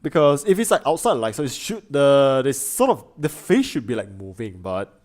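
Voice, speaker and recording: Chatty man thinking aloud, lively pitch. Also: moderate pace. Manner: thinks aloud with many fillers and restarts. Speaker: streamer reacting to videos. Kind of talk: casual chat.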